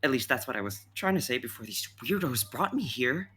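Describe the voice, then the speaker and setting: A woman acts a flustered contestant full of defensive excuses. The voice is husky yet sharp-edged and lively, stammering and rambling in sketch comedy style. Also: extremely low pitch, fairly quick, voice pushed down, exaggerated voice. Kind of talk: comedy sketch table read.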